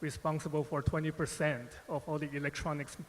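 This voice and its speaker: A man answers on a panel, his voice a touch high and his speech halting, padded with filler phrases. The sound carries a long conference-hall reverb. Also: fairly even intonation, a crowd in the background. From born Taiwan, raised United States. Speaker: panelist, company strategist.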